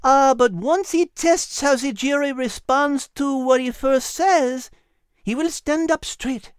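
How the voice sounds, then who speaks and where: A narrator voicing a knowing female animal, explaining in an animated way. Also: high voice, slow, no room sound, studio quality, pitched above the performer's own voice.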